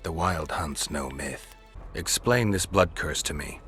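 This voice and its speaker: Gruff sardonic warrior for hire, very low gravelly voice, deadpan video game delivery, faint music behind. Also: male voice, unhurried. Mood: curt impatience. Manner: terse, dry, deadpan. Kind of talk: video game dialogue acting.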